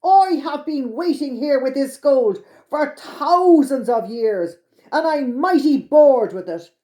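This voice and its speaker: Lady doing a little irish leprechaun guy for a kids story, high pitched, huffy, kinda soft edged. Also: lively intonation, takes it slowly, roomy sound. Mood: bored, grumbling. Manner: impatient, folksy Irish turns of phrase. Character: tiny little man of the magic woods, huffy leprechaun. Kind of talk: children's storybook telling.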